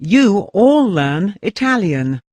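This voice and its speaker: A speaker slowly repeating translated phrases in a language lesson. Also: medium pitch, big pitch swings, a little mellow, dry recording. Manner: measured, deliberate repetition. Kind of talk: slow lesson repetition.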